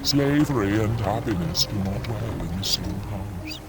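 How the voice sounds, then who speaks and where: A man's voice: on the low side, animated, a little slow, heavy background noise. A man playing a downtrodden, fearful villager in a fantasy video game, solemn, mournful and defeatist. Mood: despairing. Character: downtrodden, fearful, despairing male villager of Wonderland. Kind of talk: video game character acting.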